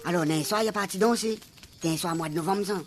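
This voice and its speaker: A woman's voice: fairly low pitch, fast talker, lightly acted. A woman voicing a bossy but good-natured island man with a Réunion Creole accent, chiding and colloquial in a comic radio serial. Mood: animated.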